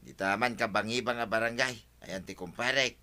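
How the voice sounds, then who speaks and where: A man's voice: fairly low pitch, moderate pace, pushed and bright. A man playing a hapless, evasive husband in an Ilocano radio comedy drama, pleading and exclaiming in an Ilocano accent. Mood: evasive, complaining. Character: hapless husband, evasive, whining under his wife's scolding.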